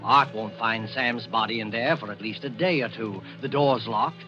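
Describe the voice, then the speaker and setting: An actor playing an ominous narrator of a 1940s radio suspense play, speaking a schemer's thoughts in terse phrases. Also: male voice, medium pitch, normal speed, natural voice. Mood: ominous, urgent. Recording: muffled old radio broadcast recording. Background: dramatic music.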